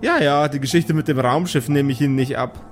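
A man's voice: fairly high pitch, brisk, faint music behind, natural voice. A man voicing an exasperated, put-upon fishmob woman who scolds and grudgingly helps in an improvised audio play. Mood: annoyed, resigned.

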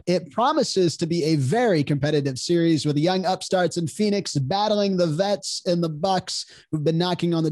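A man's voice: high voice, a little mellow, dry recording. A man hosting a sports podcast, talking casually with a nasal, congested voice from a cold. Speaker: podcast host.

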